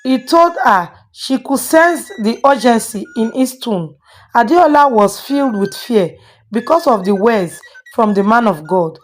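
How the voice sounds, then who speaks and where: A woman's voice: on the high side, lively intonation, measured pace. A woman storyteller hosting and dramatically narrating a moral tale. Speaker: storyteller and channel host.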